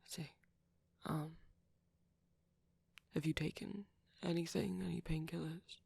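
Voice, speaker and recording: A woman voicing a shy, gentle young male vampire boyfriend in an ASMR roleplay, speaking breathily and hesitantly with small stammers. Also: low voice, little pitch movement, a little slow, vocal fry, husky, no echo, exaggerated voice. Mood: shy, caring. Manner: hesitant, stammering, softly whispery. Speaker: audio roleplay performer.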